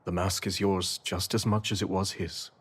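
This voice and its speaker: An actor playing a noble, resolute king in a game cutscene, speaking in a formal, measured way. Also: low-pitched, flat delivery, fairly bright tone, breathy edge, full character voice. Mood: measured, reassuring. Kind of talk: video game cutscene acting.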